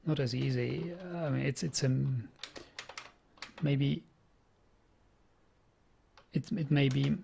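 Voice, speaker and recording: A man's voice: mid-range voice, steady tone, slow pace, creaky, long pauses, dark tone, slight background noise. A young man with a Czech accent, chatting casually on a programming stream, often repeating words as he thinks aloud. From Czech Republic. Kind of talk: casual live-stream chat.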